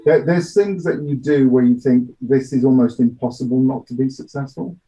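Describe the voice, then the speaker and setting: A middle-aged man giving measured, matter-of-fact interview answers with hesitations over a video call from a small office. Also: mid-range voice, steady tone, music in the background. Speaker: audio drama producer being interviewed. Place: small home office, indoors. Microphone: computer mic on video call. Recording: dull, lo-fi video-call audio.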